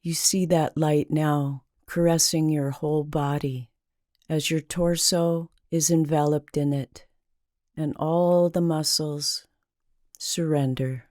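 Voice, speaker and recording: A woman with a dark, mellow voice narrates a guided meditation. She speaks very slowly and deliberately, leaving long, calming pauses. The recording is dry, with no room sound around her. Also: deep voice, no echo.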